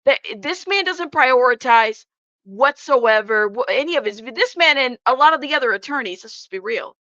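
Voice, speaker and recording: A woman's voice: sits high, lively intonation, normal speed, pushed and bright, no echo, lo-fi sound. A congested woman hosting a livestream, chatting casually and bluntly with her viewers and swearing freely. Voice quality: congested, stuffed-up nose. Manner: informal, blunt, sweary, addresses chat directly. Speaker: livestream host. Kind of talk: casual live commentary to viewers.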